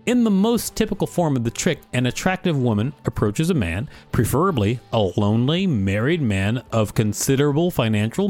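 A man's voice: medium pitch, a little mellow. A man co-hosting a podcast, reading an article aloud and chatting casually. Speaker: podcast co-host.

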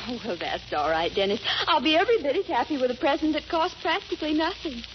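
High-pitched, love-struck young woman. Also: big pitch swings, fast talker. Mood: tender. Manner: gushing, wheedling, exclaiming. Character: love-struck, eager, scheming young woman, the landlady's daughter. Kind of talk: 1940s radio situation comedy acting. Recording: noisy, muffled 1940s radio recording.

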